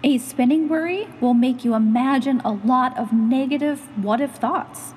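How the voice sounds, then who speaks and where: A woman reading a children's picture book aloud in an animated, instructive, expressive way. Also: high-pitched, normal speed, long reverb tail, slight background noise. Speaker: storytime reader and host.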